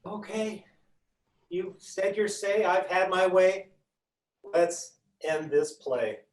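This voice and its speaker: A man's voice: sits high, slow pace. A man acting an anxious, fretful father with theatrical delivery in a staged reading of a play, recorded in a roomy space away from the microphone. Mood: resigned, despairing. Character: anxious father, an obsessive collector, fretting. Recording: echoey room, distant microphone.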